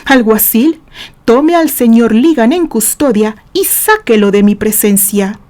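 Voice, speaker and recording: A woman's voice: on the high side, expressive, unhurried, darkish tone. A woman voicing a weary male judge speaking formally and with authority. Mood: stern.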